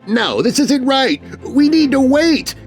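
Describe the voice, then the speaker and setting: Man putting on an excitable, high-pitched whiny boy. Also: slow pace, soft background music, exaggerated voice. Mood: panicked, demanding. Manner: whiny, insistent. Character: excitable, demanding boy obsessed with Thanksgiving turkey.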